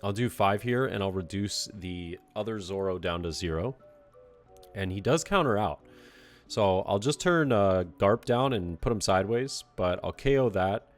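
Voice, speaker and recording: A young man with a deep, low-pitched voice that sounds stuffed up and congested. He talks through a card game in a loose, relaxed way, full of "you know" and "uh," and sometimes points out his own mistakes. Soft music plays quietly underneath. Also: moderate pace. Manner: relaxed, filler-heavy, self-critical play-by-play. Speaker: card game player and commentator. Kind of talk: casual commentary over a recorded match.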